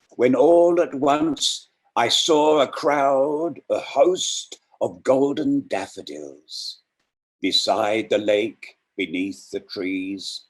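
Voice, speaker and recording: A man reading and introducing poems in an unhurried, deliberate, reflective way. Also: fairly low pitch. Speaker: poetry reader introducing and reciting poems. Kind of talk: poetry reading with spoken introductions.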